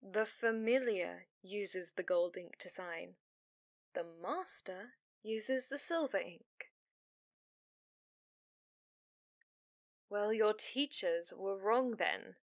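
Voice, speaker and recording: A possessive female fox spirit, acted by a woman for an ASMR roleplay. She speaks very slowly and intimately, often sinking into breathy whispers. The sound is dry and close, as if she is right at the microphone. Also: mid-range voice, no room sound. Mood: insistent, coaxing. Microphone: close studio microphone, dry.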